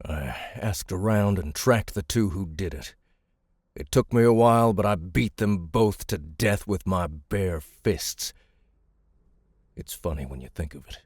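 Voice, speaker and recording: An actor playing a big, gruff, middle-aged survivor, bitter and defiant, with a gravelly, raspy voice in an audiobook drama. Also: male voice, sits low, expressive, unhurried, darkish tone, no echo, clean studio sound. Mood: grim, haunted. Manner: weighty, defiant, rough-spoken.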